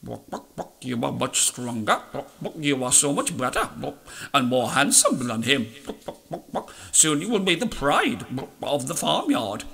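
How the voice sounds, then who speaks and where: A man voicing a doting, flattering mother hen who clucks between words in a storybook tale. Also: mid-range voice, moderate pace, vocal fry, exaggerated voice.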